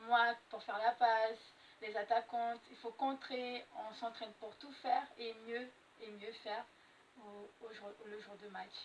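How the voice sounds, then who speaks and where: A woman's voice: a little high, far from the mic. A young woman giving spontaneous interview answers as a volleyball player. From French Guiana. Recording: slightly noisy, off-mic radio interview.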